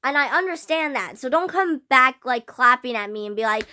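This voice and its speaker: Teenage girl, fairly high voice, slightly hoarse, animated, full of fillers, casual vlog rant. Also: normal speed. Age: teens. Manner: animated, rambling, many fillers. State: slightly hoarse, losing her voice. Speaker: vlogger. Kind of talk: casual fan rant to camera.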